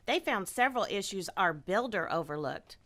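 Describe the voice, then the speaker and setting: A woman performing a scripted radio ad, with an earnest sales-pitch delivery. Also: animated, normal speed, a bit bright. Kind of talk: scripted ad dialogue.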